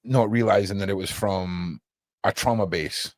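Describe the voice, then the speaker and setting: A man's voice: deep voice, normal speed, dry recording. A British man hosting a casual online panel, talking in a rambling, repetitive way and arguing jokingly. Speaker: host of an online panel show. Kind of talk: casual chat.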